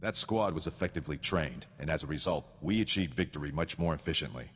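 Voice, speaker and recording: An actor playing a stern, dutiful sergeant in a video game, speaking formally and precisely, coldly devoted to victory. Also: male voice, a bass voice, quick pace, a bit bright, long reverb tail, a little hiss or hum. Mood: stoic. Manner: formal, precise, recites statistics. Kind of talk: scripted video game acting.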